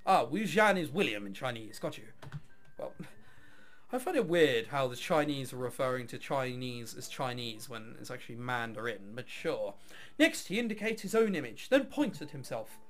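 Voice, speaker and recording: A man's voice: medium pitch, sing-song, normal speed, fairly bright tone. A man reading a visual novel aloud, mixing dramatic narration with casual, offhand commentary. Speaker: video game let's player.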